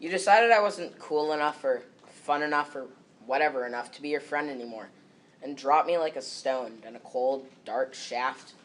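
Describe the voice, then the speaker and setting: Seventh-grade boy reciting, medium pitch. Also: moderate pace. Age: about 12 to 13, seventh grader. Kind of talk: readers theater recital of a scripted monologue.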